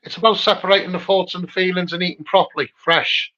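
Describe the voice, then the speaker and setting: Through dull, low-fidelity audio comes a man with a high voice and a Scouse accent. He speaks casually but with earnest emphasis as an interviewer, returning to his key phrases to press his points. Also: fairly even intonation, fast, pushed and bright. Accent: Liverpool (Scouse). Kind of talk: casual advocacy chat.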